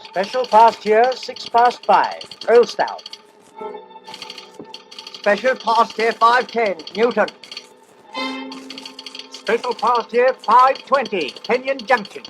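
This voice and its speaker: An actor playing a railway stationmaster who reads out telegrams in a clipped, formal radio drama manner. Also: male voice, extremely high pitch, lively intonation, slow, pauses often, music in the background, heavily acted. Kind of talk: old-time radio drama acting.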